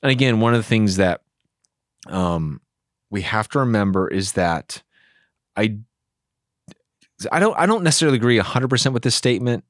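Pastor guy, lowish voice, earnest and animated, dry close mic. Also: normal speed, long pauses, no room sound. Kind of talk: casual theology discussion.

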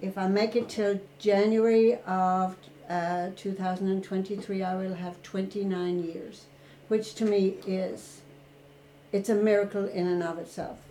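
Older Irish woman, candid reflective guest, warm tone, roomy sound. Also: mid-range voice, little pitch movement, unhurried, slight background noise. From Ireland. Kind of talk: personal recovery story in a podcast interview.

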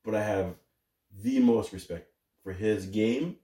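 A man's voice: lowish voice, unhurried, warm tone, echoey room. A man, co-hosting a casual podcast, teasing and wisecracking. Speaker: podcast co-host. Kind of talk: casual chat.

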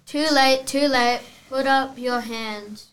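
A fairly high-voiced masked bandit acted by a child, threatening yet hapless. The lines are read slowly, as in a children's play. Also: steady tone, a bit bright, poor audio.

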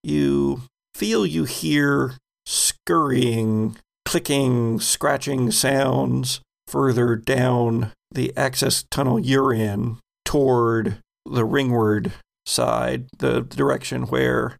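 A man with a gravelly voice narrating a tabletop roleplaying game as game master, descriptive and deliberate. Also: mid-range voice, steady tone. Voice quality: gravelly, creaky.

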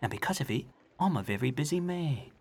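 A man's voice: medium pitch, expressive, brisk, a little mellow, breathy edge, pitched above the performer's own voice. A man voicing a stuffy, indignant old former morgue guard in an audio drama, scolding and pompous. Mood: smug, dismissive.

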